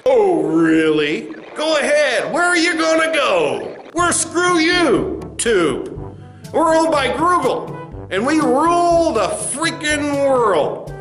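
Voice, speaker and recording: A man's voice: very high voice, sing-song, slow pace, a bit bright, exaggerated voice. A man playing a clown corporate spokesman in a comedy sketch, with a mocking, condescending, emphatic delivery. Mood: scornful, gloating. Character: smug, taunting clown corporate spokesman of a video site. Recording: roomy echo with faint music behind.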